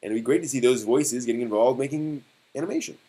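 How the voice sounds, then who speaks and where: A man hosting a casual live stream discussion, thinking aloud in a halting way and posing rhetorical questions. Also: mid-range voice, mellow, slight background noise.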